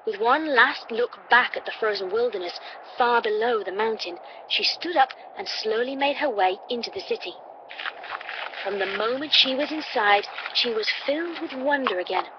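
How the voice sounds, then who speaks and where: A woman's voice: on the high side, expressive, normal speed. A woman reading a scripted story as an audiobook narrator. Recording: dull, noisy playback through computer.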